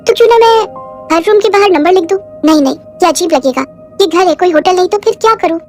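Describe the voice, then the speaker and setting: A woman's voice: very high-pitched, fast talker, voice pushed up. A woman voicing a naive young foreign orphan bride in a moral story, speaking broken Hindi with muddled verb genders. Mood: anxious. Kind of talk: acted dialogue in a moral story. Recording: music behind the voice.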